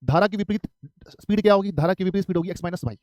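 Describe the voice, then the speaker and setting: Male math teacher from Haryana in his thirties, slightly high, close and dry, drills every step. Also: very fast, darkish tone, no echo. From Haryana, India. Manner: repetitive, asks and answers his own questions. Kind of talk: live online exam-prep math class.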